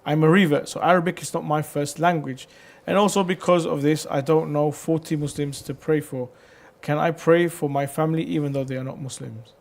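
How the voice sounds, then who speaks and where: A man presenting a live religious Q&A show, reading viewers' messages aloud in a lively way and sometimes tripping over words. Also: a little high, a little fast, vocal fry, hardly any pauses. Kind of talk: live call-in Q&A hosting.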